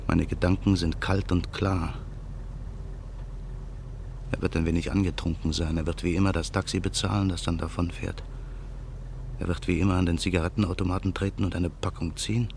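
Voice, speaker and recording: An actor playing a vengeful former musician, narrating his calm, measured thoughts in a radio play. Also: deep voice, gravelly, a little hiss or hum. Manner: calm, measured inner monologue. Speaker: narrator and lead character.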